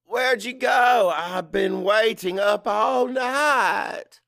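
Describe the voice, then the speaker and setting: A man's voice: high voice, drawn-out words, vocal fry, pushed and bright, no echo, clean studio sound, voice pushed up, exaggerated voice. A man voicing a brooding, resentful husband in an audiobook drama, with a strained, self-justifying manner. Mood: frustrated. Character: brooding, resentful husband grieving his father.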